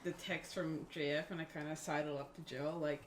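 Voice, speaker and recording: A woman's voice: low-pitched, fairly even intonation, fairly quick, a loud crowd. A woman casually improvising and describing her actions as a player in a tabletop game. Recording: background room noise.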